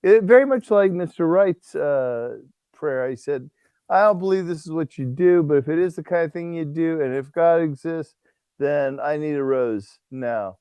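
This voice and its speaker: A man, a priest, chatting on a livestream and telling stories in an animated way, laughing often. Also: on the high side, normal speed, clear, resonant tone, no room sound. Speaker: priest and guest author. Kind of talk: casual livestream conversation.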